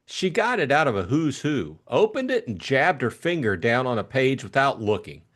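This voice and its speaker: A man's voice: medium pitch, big pitch swings, normal speed, fairly bright tone. A man voicing a chatty, eager, simple-hearted young upper-class Englishman in an audiobook, gushing and rambling in slangy talk. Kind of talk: audiobook character acting.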